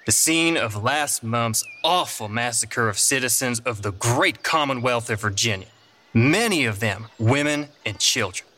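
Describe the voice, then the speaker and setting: A man's voice: medium pitch, expressive, a little slow, pushed and bright, a little hiss or hum. An actor playing an outraged, melodramatic 1830s Virginia newsman in a dramatized report. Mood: grave outrage. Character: indignant 1830s male news reporter in Virginia. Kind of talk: dramatized news report and interview.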